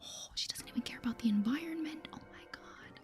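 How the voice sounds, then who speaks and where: A woman speaking softly in a breathy whisper, chatting through an ASMR game playthrough. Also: high-pitched, lively intonation, a little fast. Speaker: gaming video host. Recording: soft music behind the voice. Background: music.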